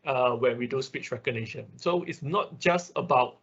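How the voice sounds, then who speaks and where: A man who is a non-native English speaker with an accent, teaching an informal online technical class in a husky voice, explaining step by step with frequent check words. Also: mid-range voice, moderate pace, a bit bright. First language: not English. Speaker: instructor. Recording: dull, lo-fi online class audio.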